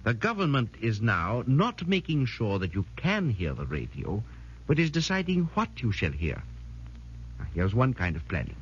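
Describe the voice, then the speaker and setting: An actor playing an earnest foreign professor who lectures formally and emphatically in a 1940s radio drama. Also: male voice, medium pitch, huge pitch swings, moderate pace, husky. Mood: emphatic, lecturing. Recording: muffled 1940s radio broadcast.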